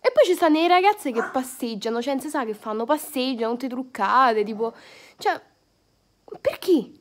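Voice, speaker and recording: A woman's voice: high voice, animated, moderate pace. A young woman, ranting sarcastically to her phone camera from her bed with crude slang and lots of fillers. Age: young adult. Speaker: vlogger. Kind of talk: casual comedic rant to camera. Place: indoors, bedroom, lying on bed. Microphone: phone camera at arm's length.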